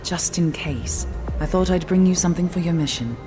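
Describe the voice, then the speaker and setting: An actress as a solemn, stubborn immortal woman in a sci-fi audio drama. Her voice is very low, her phrasing formal and deliberate, with music underneath. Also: natural voice. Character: stubborn, solemn female ancient immortal, recovering from illness.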